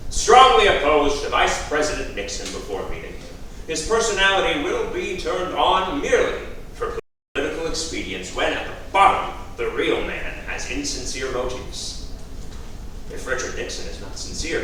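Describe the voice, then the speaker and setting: A man's voice: on the high side, sing-song, normal speed, pushed and bright, slight background noise. An actor playing a proud, suspicious male politician in a staged reading, his delivery biting and accusing. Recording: echoey stage from far off, music.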